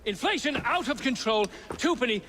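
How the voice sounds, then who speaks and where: An actor playing an indignant, flustered Victorian gentleman politician in a video game, with a British accent and pompous, blustering manner. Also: very high-pitched, animated, moderate pace, exaggerated voice. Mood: agitated, pompous. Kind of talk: theatrical video game acting. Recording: reverberant room, faint noise.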